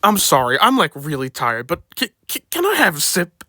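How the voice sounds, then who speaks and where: A man's voice: fairly high pitch, wildly swooping pitch, moderate pace, sharp tone, a little hiss or hum, heavily acted. A man voicing a clumsy, groggy male steam engine who stammers and hesitates in a comic cartoon parody.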